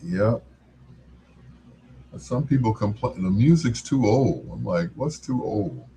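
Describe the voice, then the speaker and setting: An older man hosting a music livestream, chatting casually in a laid-back, folksy, unhurried way. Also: lowish voice, expressive, warm tone, slight background noise. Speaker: livestream music show host.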